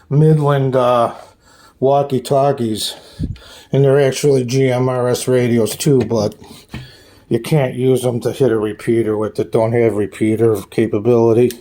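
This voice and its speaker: A man hosting a casual off-the-cuff hobby tour at home, talking in a halting, plain-spoken, rambling way. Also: medium pitch, mellow. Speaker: hobbyist host giving a radio gear tour. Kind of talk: casual off-the-cuff show-and-tell vlog. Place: small room at home.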